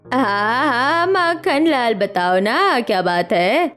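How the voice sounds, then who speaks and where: A woman's voice: on the high side, expressive, slow pace, a bit bright. Woman as sly mimic of mother-in-law. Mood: probing.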